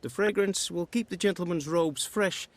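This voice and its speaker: A man's voice: sits high, moderate pace, dry recording. An actor playing a prim male servant at an imperial court in a video game, speaking in a fussy, formal, deferential way.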